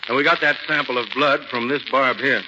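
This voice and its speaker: A man's voice: mid-range voice, steady tone, brisk, natural voice. A man playing a matter-of-fact, affable and observant police inspector in a 1940s radio drama. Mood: businesslike. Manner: plain-spoken, businesslike, affable. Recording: muffled, noisy old radio broadcast.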